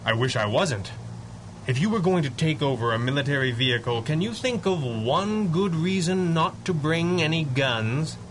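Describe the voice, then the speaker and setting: Confident masked mystery man, male actor, old-time radio serial; brisk, dry wit, noisy rumbling background. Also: animated, close to an everyday voice. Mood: urgent, reasoning. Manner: brisk, deductive, dryly witty. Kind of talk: 1930s-style radio adventure acting.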